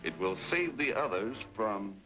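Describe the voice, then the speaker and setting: An actor playing a scheming, commanding western villain boss in an old-time radio serial style. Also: male voice, lowish voice, animated, a little slow, bright, forceful tone, low-quality recording. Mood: cold threat. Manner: commanding, menacing, emphatic. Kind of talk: 1930s-40s western serial acting. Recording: vintage radio recording with music.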